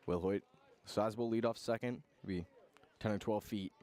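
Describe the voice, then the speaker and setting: A man doing live radio play-by-play of a baseball game in clipped phrases, with pauses between calls. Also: on the low side, fairly even intonation, dark tone. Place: outdoor ballpark broadcast.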